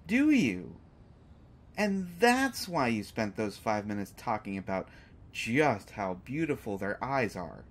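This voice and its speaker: A man's voice: on the low side, very animated, slow pace, exaggerated voice. A man playing a sarcastic, unafraid hostage who teases a villain in an audio drama, with exaggerated mock reactions. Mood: mocking disbelief. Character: sarcastic, unafraid male hostage taunting a lovesick supervillain. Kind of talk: scripted roleplay audio drama monologue.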